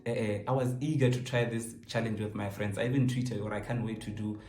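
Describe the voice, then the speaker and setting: A man's voice: on the low side, fairly even intonation, brisk, a little mellow. A South African man in his twenties chatting casually and jokingly to camera, switching between languages. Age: 20s. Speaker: YouTuber answering viewer questions. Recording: roomy echo, faint music behind.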